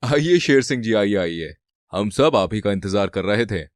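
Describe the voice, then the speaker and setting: Wise old cartoon elephant played by a man: low, kindly, calm and measured, close dry sound. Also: no room sound, lightly acted. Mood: welcoming. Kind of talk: animated moral-story cartoon voice acting.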